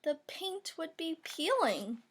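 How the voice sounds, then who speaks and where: A woman's voice: very high-pitched, sing-song, takes it slowly, background noise. A woman reading a rhyming children's picture book aloud, with playful emphasis on the sound words. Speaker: storybook reader.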